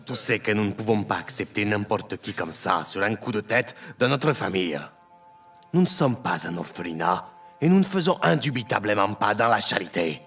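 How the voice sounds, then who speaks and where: Un acteur joue un chef de famille sévère et intimidant, autoritaire et solennel, dans une fiction audio. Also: male voice, fairly low pitch, expressive, normal speed, a bit bright, soft background music. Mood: cold, stern. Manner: authoritative, cold, formal. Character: stern, intimidating adult male head of a clandestine family.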